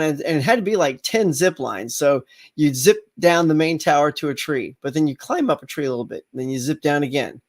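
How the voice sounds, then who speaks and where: A middle-aged man hosting a casual live morning chat, slightly congested and rambling with fillers as he talks to viewers. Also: on the high side. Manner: rambling, chatty, lots of fillers and restarts.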